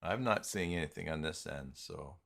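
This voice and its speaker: A man hosting a casual live software tutorial, talking haltingly and muttering to himself as he works through things on screen. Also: rumbling low, vocal fry. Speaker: live stream host, software tutorial presenter. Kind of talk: casual unscripted screen-share walkthrough.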